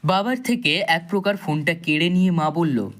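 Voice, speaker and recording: A man's voice: fairly high pitch, animated, moderate pace, fairly bright tone. A man narrating a scripted thriller story in a dramatic, suspenseful storytelling manner. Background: background music.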